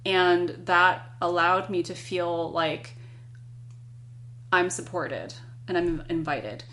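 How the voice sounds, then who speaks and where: A non-binary person in their thirties, a podcast guest, speaks earnestly and reflectively, telling a personal story in a measured way. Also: medium pitch, bright, forceful tone. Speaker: podcast guest, climbing guide. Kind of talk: conversational interview.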